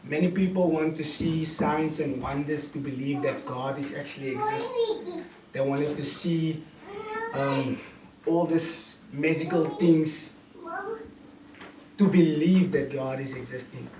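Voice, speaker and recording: Slow-paced South African man, roomy distant recording. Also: fairly high pitch, big pitch swings. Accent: South African, Cape Town. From Cape Town, South Africa. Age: late twenties. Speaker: guest speaker giving testimony. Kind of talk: personal testimony storytelling, preaching. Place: indoor room with small seated audience. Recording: roomy, distant camera mic with noise.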